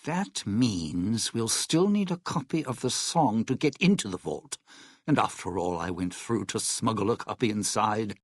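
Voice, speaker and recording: An actor playing a sly, bitter, scheming lounge singer in a video game, with a raspy, gravelly voice and a wry, smooth-talking, theatrical manner. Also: male voice, on the low side, lively intonation, a little slow, dry recording, clean studio sound, heavily acted. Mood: resentful, calculating. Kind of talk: video game character dialogue.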